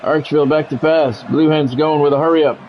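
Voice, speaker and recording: A man streaming a football video game, giving excitable play-by-play and bluntly talking at the players in short, urgent, repeated phrases. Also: on the high side, moderate pace, muffled recording, slight background noise. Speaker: gaming streamer doing play-by-play.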